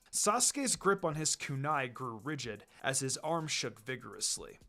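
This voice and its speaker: A man reading a story aloud as a dramatic narrator, with music behind him. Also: on the high side. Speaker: fan fiction reader. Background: background music.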